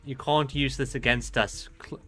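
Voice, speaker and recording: A man with a very bright, sharp voice at a middle pitch comments on a game as he plays. He sounds casual and sarcastic and halts as he reacts, with faint game music in the background. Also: steady tone, moderate pace. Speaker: game streamer.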